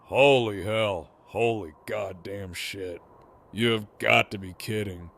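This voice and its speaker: Man playing sardonic middle-aged villain, gravelly fry, taunting drawl, audio drama, faint noise behind. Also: on the low side, takes it slowly. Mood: incredulous. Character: mocking, foul-mouthed middle-aged male antagonist, gleefully needling.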